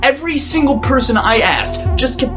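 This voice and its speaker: A woman's voice: high-pitched, animated, moderate pace, over music. A woman playing an exasperated, combative field reporter in a comedy audio drama. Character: exasperated, crude, combative news field reporter named Tyler.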